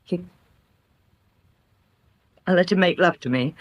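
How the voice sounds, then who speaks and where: An actress with an English accent playing a composed, guarded married daughter in a radio crime drama, with a cool, formal, wry delivery. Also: female voice, fairly low pitch, slow. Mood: troubled, confiding.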